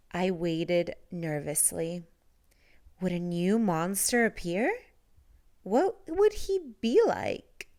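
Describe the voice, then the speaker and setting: A woman reading a picture book aloud to children in an expressive style, with deliberate, dramatic phrasing. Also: lowish voice. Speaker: children's story reader.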